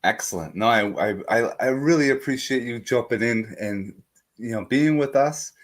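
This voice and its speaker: A middle-aged man hosting a live podcast, energetic and enthusiastic, talking straight to his viewers. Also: mid-range voice, moderate pace. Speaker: podcast host. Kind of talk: upbeat show introduction and interviewing.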